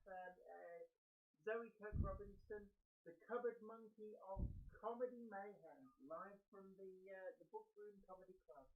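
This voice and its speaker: A woman co-hosting a live stream, casually and haltingly reading out viewer comments. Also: medium pitch, phone-quality sound. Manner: hesitant, halting. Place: corner of a room at home. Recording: thin, indistinct sound from across room.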